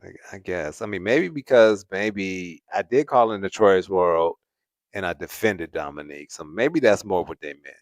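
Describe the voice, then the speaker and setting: A man's voice: sits low, lively intonation, moderate pace, dry recording, studio quality. A man hosting a casual livestream, chatting to viewers and laughing often. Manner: laughs often, repeats "at the end of the day". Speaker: host.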